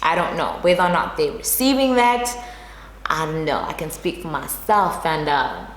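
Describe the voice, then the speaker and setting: A woman's voice: low-pitched, animated, moderate pace. A young South African woman with a South African accent, musing to camera as a vlog host. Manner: musing, reflective, with fillers. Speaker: YouTuber and street interviewer. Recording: roomy echoing room with long reverb and faint noise.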